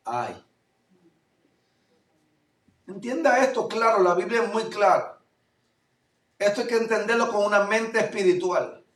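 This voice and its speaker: A male pastor from Puerto Rico with a Puerto Rican accent, preaching a fervent sermon that swings between loud declarations and low asides. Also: expressive, unhurried, pauses often. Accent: Puerto Rican Spanish. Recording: echoey room, voice from far off mic.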